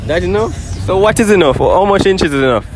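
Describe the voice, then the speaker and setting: A young man with a Jamaican accent doing a playful street interview, teasing and animated, outdoors at a car show with a handheld mic. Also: sits high, fairly quick, faint music behind. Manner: teasing, animated, exclaims in patois. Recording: faint outdoor noise.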